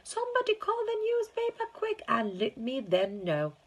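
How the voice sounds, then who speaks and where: Woman playing an excitable shopkeeper, shrieking high. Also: moderate pace. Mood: frantic delight. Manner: loud, exclamatory yelling when excited. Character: fat, excitable, friendly male shopkeeper.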